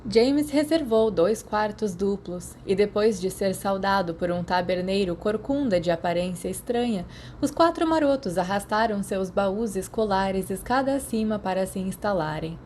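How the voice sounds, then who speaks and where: A woman's voice: mid-range voice, normal speed, few pauses, darkish tone. A woman with a Brazilian accent reading a story as scripted audiobook narration. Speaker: podfic narrator. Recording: clear background noise.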